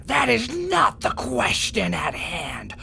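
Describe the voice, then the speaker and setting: An actor voicing an imperious, pompous Mole King judging an underground court in a gravelly, slow, declamatory radio-drama voice. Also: medium pitch, wildly swooping pitch, bright, forceful tone, slight background noise, heavily acted. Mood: stern, probing. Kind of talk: radio adventure drama acting.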